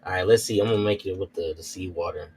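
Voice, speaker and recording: A man with a low voice plays a tabletop game in casual, slangy talk. He thinks aloud and repeats himself as he works through his choices. There is faint noise on the recording. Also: fairly even intonation, fast talker, darkish tone. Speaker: tabletop roleplay player.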